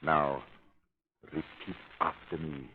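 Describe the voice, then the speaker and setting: A man acting a cold, domineering villainous hypnotist with a commanding, deliberate delivery in 1930s radio style. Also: extremely low pitch, fairly even intonation, slight background noise. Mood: menacing, hypnotic. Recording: muffled old 1930s radio recording.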